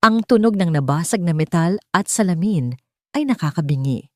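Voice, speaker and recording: Low-voiced Filipino woman narrating a story. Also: lively intonation, normal speed, no room sound, studio quality. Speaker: audiobook narrator. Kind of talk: scripted romance story narration.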